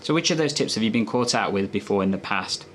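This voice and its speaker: A man's voice: lowish voice, lively intonation, fast talker, heavy background noise. A man presenting health tips as a doctor, explaining in a conversational, instructive way to viewers.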